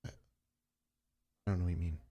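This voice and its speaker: A man voicing an obsessed young female copycat killer in a tabletop role-play, defiant and evasive, halting and repeating words. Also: low-pitched, steady tone, mellow, lo-fi sound, voice pushed down, heavily acted. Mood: defensive, agitated.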